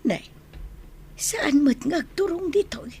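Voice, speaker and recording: A woman's voice: very high-pitched, expressive, slow pace, a little mellow, full character voice. A woman playing the caring rural wife of an old herdsman in an Ilocano radio drama.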